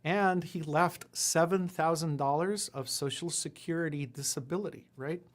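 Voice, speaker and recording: Casting: fairly high-pitched man, forties, Spanish first language, rambling and self-deprecating. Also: moderate pace. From Puerto Rico. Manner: rambling storyteller with frequent fillers and self-deprecating asides. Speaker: guest, lawyer and entrepreneur. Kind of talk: casual interview chat.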